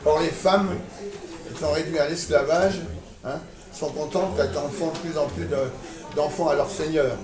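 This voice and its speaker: A man's voice: a little high, steady tone, moderate pace, a crowd in the background. Older man, blunt, in echoey hall. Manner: blunt, provocative, chuckling, hesitant restarts. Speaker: audience member. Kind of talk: provocative debate intervention. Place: conference hall with audience. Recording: echoey hall, distant mic, audience.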